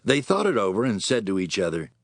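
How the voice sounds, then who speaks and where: A man's voice: fairly low pitch, big pitch swings, fast, dry recording, studio quality. A man narrating scripture as a scripted dramatic story.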